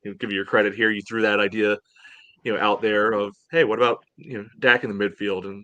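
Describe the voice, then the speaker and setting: A man on a podcast panel giving casual sports analysis in a measured, hedging way, peppered with "you know". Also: on the low side, little pitch movement, pushed and bright, no room sound, highs cut off.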